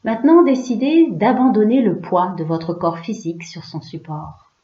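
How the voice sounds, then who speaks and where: A woman yoga teacher leading a guided relaxation in a hushed, soothing, unhurried way, leaving pauses between short phrases. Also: medium pitch, big pitch swings. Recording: roomy, slightly dull recording.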